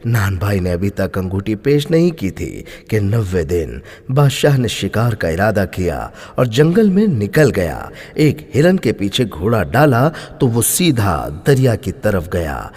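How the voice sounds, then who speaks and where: A man tells a scripted moral tale with emphatic expression. His pitch rises and falls freely, and his voice has a husky grain. Everything rings in a long, hall-like reverb. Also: a little mellow. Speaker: storyteller. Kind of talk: dramatic scripted moral story narration.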